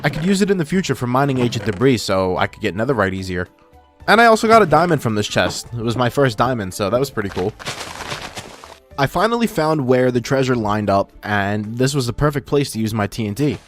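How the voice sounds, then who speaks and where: Young man, gaming video host, casual narration, informal, self-deprecating, voice filter, mid-range pitch, soft background music. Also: big pitch swings, a little fast. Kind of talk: casual scripted gameplay narration.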